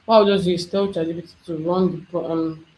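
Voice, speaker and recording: Instructor, mellow tone, faint background hiss, step-by-step explaining. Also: mid-range voice, steady tone, normal speed, rough recording. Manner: explains step by step, thinking aloud. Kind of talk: screen-share software tutorial.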